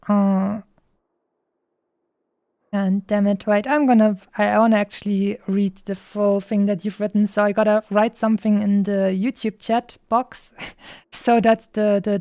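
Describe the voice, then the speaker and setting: A woman's voice: mid-range voice, gravelly, mellow, no echo, clean studio sound. A woman game streamer chatting casually, rambling with playful asides. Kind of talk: casual livestream chat.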